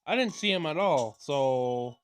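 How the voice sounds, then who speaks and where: A man's voice: fairly high pitch, lively intonation, a little slow, clear, resonant tone, no echo. A man doing a let's play of a visual novel, reading dialogue aloud with casual commentary and stumbling over words as he goes. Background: game music.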